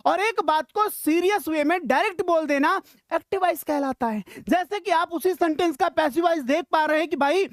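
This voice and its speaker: High-pitched Indian woman teaching. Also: normal speed, few pauses, no echo, studio quality. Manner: chatty, encouraging, checks understanding often. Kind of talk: informal grammar lesson mixing Hindi and English.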